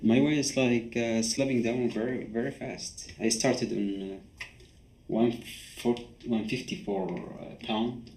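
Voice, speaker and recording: Male interviewee, 30s, English speaker, creaky voice, halting and plain-spoken, candid documentary testimony. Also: mid-range voice, steady tone, darkish tone. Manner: halting, plain non-native English with repeated phrases. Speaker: former detainee being interviewed.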